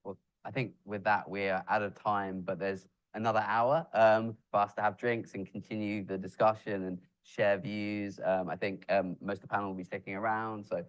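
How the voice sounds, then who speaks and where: A man moderating a panel event, brisk and polite while taking questions. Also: fairly low pitch, level tone, smooth, dry recording, poor audio.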